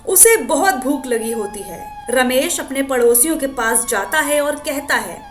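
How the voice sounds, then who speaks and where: A woman's voice: high voice, expressive, measured pace, soft background music. A woman reading a scripted moral story as its narrator.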